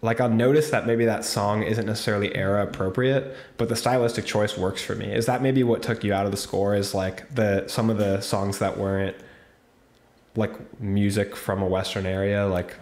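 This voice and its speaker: A man's voice: lowish voice, fairly even intonation, normal speed, a little mellow, roomy sound. A man co-hosting a casual movie review podcast, chatting with enthusiasm. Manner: chatty, enthusiastic, lists cast members. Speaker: podcast co-host.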